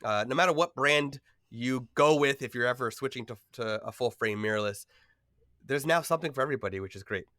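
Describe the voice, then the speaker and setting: A male podcast host talking casually with a stuffy, nasal voice from a cold. He rambles a little, with fillers and quick laughs, at an average pitch. The sound is close and dry. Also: sharp tone, no room sound. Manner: chatty, filler-heavy, laughs often.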